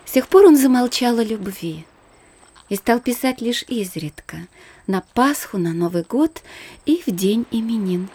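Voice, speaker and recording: Reserved young noblewoman for a radio play, mellow, breathy and confiding. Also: on the high side, animated, unhurried. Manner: soft, restrained, confiding. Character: young reserved married princess, gentle. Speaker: actress in audio play. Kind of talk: theatrical radio play acting.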